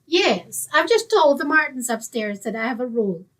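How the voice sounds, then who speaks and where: A woman's voice: high voice, very animated, moderate pace, fairly bright tone, heavily acted. A woman playing a strict, indignant landlady with a stern manner in an old-time radio comedy.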